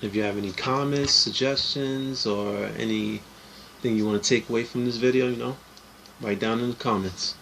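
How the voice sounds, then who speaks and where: A man's voice: mid-range voice, steady tone, normal speed, creaky, fairly bright tone, a little hiss or hum. A man co-hosting a podcast, chatting casually and agreeably, often finishing his partner's sentences. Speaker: podcast co-host. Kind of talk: casual chat.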